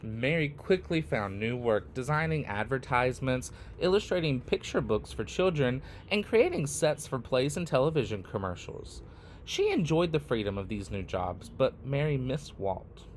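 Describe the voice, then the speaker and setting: A man reading a picture book aloud expressively, in short phrases with deliberate, dramatic pauses. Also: mid-range voice, lively intonation, slight background noise. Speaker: read-aloud storyteller.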